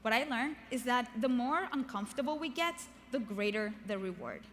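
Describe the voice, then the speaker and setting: A young woman, not a native English speaker, giving a lively motivational keynote on a large arena stage, chatty and asking the audience questions. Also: on the high side, normal speed, a little hiss or hum.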